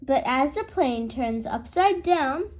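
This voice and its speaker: High-pitched, animated woman storyteller. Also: slow pace, slight background noise. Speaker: host and storyteller of children's read-alouds. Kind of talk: expressive children's storybook read-aloud.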